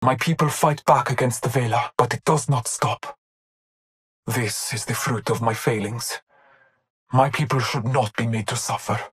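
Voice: mid-range voice, moderate pace, natural voice. A performer playing a solemn, stoic, devout hunter in a video game, speaking in a grave, formal manner. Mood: grieving resolve.

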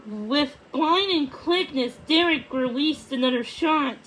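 A woman reading a children's book aloud, haltingly, stumbling over words and repeating them. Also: sits high, fairly bright tone, slight background noise, poor audio. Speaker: storybook reader.

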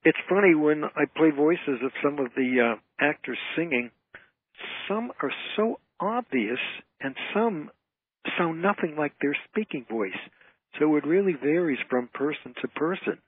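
A male guest talks over a narrow, tinny phone line in a casual interview. His voice is husky and dry, and he is emphatic and effusive about what he likes. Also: a little high, animated, measured pace, no echo. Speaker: guest, entertainer and author.

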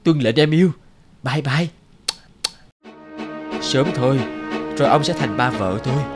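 A man's voice: mid-range voice, measured pace, music in the background, close to an everyday voice. A man voicing a scheming, lazy young office worker in a cartoon, with a whiny, theatrical manner. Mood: sweet wheedling. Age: young adult. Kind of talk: cartoon voice acting.

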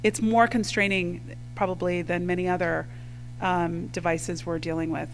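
A woman's voice: lowish voice, level tone, normal speed, a little hiss or hum, poor audio. A woman panelist answering questions at a live panel, talking in a thoughtful, conversational way with many fillers. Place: lecture hall with audience.

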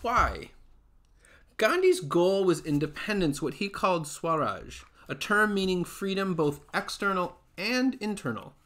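A man in his forties reading a nonfiction book aloud as its author, with measured, deliberate phrasing. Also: high-pitched, very animated, a bit bright. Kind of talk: read-aloud nonfiction narration. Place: small furnished room at home, indoors.

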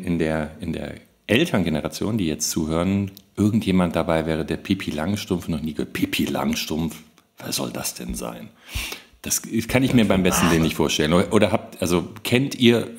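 A man chatting as a podcast host, emphatic, often repeating himself for stress. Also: low-pitched. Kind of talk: casual podcast talk.

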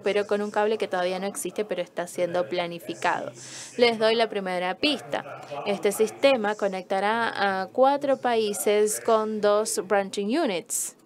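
A woman interpreting a conference talk as it is given, explaining step by step and quizzing the audience. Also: mid-range voice.

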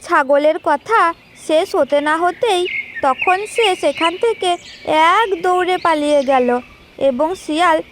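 A woman's voice: very high-pitched, animated, measured pace, background noise. A woman storyteller reading scripted narration for children's cartoon tales.

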